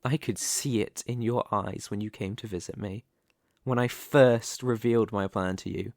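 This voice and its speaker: A man playing a possessive, ambitious young mafia boss in an ASMR roleplay, speaking deliberately and condescendingly with pointed, theatrical pauses. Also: on the low side, fairly even intonation, gravelly, a little mellow, no room sound, clean studio sound. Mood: quietly probing. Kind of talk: ASMR roleplay, dramatic monologue to the listener.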